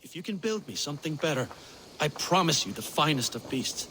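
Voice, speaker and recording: An actor playing a hopeful, eager Viking stable keeper bargaining in a video game. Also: male voice, a little high, lively intonation, a little fast, music in the background, natural voice, poor audio. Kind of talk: video game dramatic acting.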